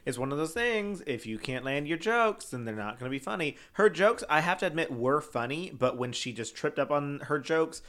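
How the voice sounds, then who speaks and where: A man in casual conversation, talking bluntly and with plenty of animation. His voice sits a little high for a man. He swears freely and slips into mocking quoted voices when making a point. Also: lively intonation, moderate pace, few pauses, fairly bright tone. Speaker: podcast co-host reviewing a TV show. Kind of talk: casual chat.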